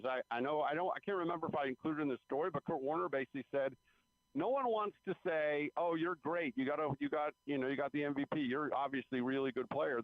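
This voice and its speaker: A man's voice: on the high side, no echo. A man giving conversational sports analysis as a guest reporter, repeating phrases for emphasis, over a phone line. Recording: phone-like radio call-in line.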